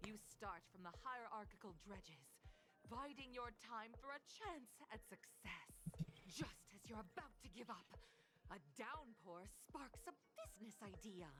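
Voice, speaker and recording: An actress playing a spirited young female video game announcer, delivering scripted storytelling narration. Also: fairly high pitch. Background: music.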